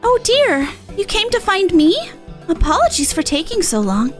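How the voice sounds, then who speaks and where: Woman putting on a very high, sweet young lady. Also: very animated, normal speed, faint music behind. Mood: gentle concern. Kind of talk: fantasy game character read-aloud.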